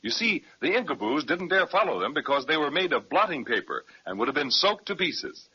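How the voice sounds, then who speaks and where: A man's voice: on the high side, very animated, brisk, sharp tone, breathy edge. A man narrating a 1930s children's radio serial in a folksy storyteller manner, on an old recording. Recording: muffled 1930s radio transcription.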